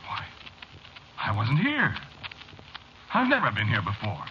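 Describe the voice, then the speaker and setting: Haunted desperate man, agitated halting delivery, widely swinging pitch, vintage horror radio play, clearly noisy recording. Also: wildly swooping pitch. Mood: confused, scared. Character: frightened, unraveling man haunted by the number three. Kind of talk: old-time radio horror drama acting, first-person narration. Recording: noisy, muffled old radio drama recording.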